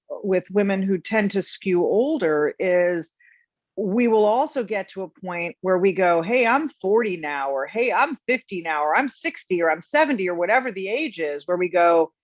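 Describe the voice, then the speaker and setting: A woman's voice: medium pitch, no echo. A middle-aged woman hosting a podcast, telling personal stories earnestly and reflectively, often restarting her sentences. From United States. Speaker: podcast host. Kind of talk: casual interview chat. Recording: dry, dull lo-fi sound.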